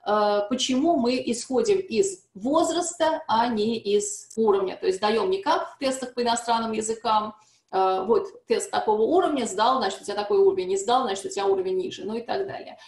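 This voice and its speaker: A woman's voice: fairly high pitch, fairly quick, a little mellow. Woman lecturing in an echoey hall. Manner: frequent hesitations and restarts, explanatory. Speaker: seminar speaker on language testing. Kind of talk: academic presentation. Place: conference hall. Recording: echoey room.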